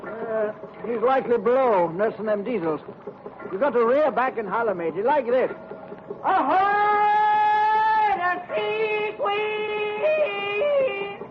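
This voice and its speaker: A salty old sea dog with a high voice, folksy and full of nautical slang. He sounds like a character from a 1940s radio play, on a noisy old broadcast recording. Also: lively intonation, slow, long pauses, highs cut off, exaggerated voice. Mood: hollering. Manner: folksy sailor talk, calls everyone matey. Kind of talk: 1940s radio drama acting.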